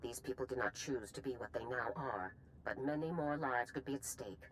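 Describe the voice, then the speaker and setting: A woman's voice: extremely low pitch, normal speed, slight background noise, low-quality recording. A woman playing a hard, unyielding middle-aged general in a video game, stern and commanding. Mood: grave, commanding. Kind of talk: scripted video game acting.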